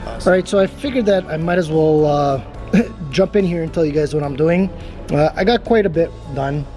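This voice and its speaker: Hobbyist man, casual how-to narration, rambling with fillers, voice on the higher side. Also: brisk, soft background music. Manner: relaxed, rambling, many filler restarts. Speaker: vlogger installing a CB radio. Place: parking garage beside his truck.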